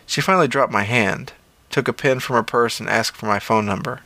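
A man's voice: medium pitch, a little fast, pushed and bright, a little hiss or hum. A man narrating a scripted first-person story as an audio fiction reader.

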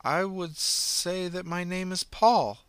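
A man voicing an eerie possessing entity that speaks through other people, slow, halting and detached. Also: high voice, clean tone, no room sound, voice pushed up. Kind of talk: audiobook character voice.

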